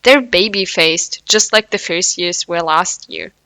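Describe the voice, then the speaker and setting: A woman's voice: lowish voice, measured pace, pushed and bright, dry recording. A cocky laid-back college guy, read by a woman. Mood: dismissive. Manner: dismissive, teasing.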